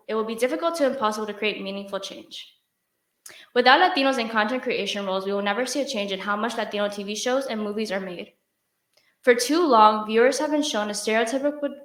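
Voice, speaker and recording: A woman's voice: a little high, a bit bright, reverberant. A young woman giving a prepared, earnest speech to an audience, with occasional restarts. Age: young adult. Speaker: student presenter.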